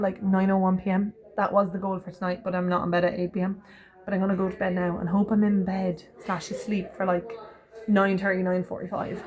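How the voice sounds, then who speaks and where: A woman rambles cheerfully to her camera, now and then breaking off mid-word to restart. Her voice is a touch hoarse and phlegmy, and her pitch sits in an ordinary middle range. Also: darkish tone. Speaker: vlogger. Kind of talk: casual vlog chat.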